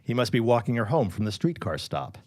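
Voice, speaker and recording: An actor playing a gentle, troubled middle-aged father in a period audio drama, formal and mildly droll in manner. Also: medium pitch, very animated, brisk, dry recording, lightly acted. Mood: courteous.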